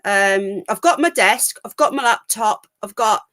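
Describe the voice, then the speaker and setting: A woman's voice: medium pitch, a little slow, a bit bright. A woman hosting a live call-in stream from home, chatting frankly and rambling, a bit full of cold, on a laptop mic. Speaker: livestream call-in show host. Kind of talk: casual live stream chat.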